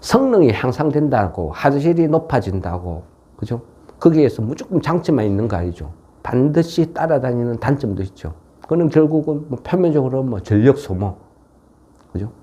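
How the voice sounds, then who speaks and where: A man's voice: medium pitch, big pitch swings, moderate pace, mellow, breathy edge, dull audio. A man with a regional, non-Seoul South Korean dialect giving an informal explanatory market lecture in a folksy way, often tagging on rhetorical questions. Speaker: stock market commentator.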